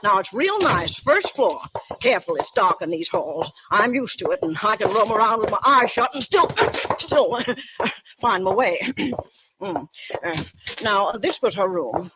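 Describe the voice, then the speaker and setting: A woman's voice: mid-range voice, big pitch swings, unhurried. A chatty, nosy landlady who rattles on in a homely way. A faint hiss sits under the dull sound of a 1940s radio recording.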